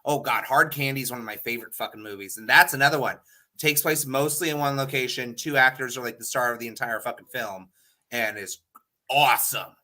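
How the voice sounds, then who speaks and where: A man with a bright, crisp-edged voice at an average pitch. He talks as a podcast co-host, informal and opinionated, in a loose conversational style. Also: steady tone, brisk. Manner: opinionated, informal with casual swearing. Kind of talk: casual chat.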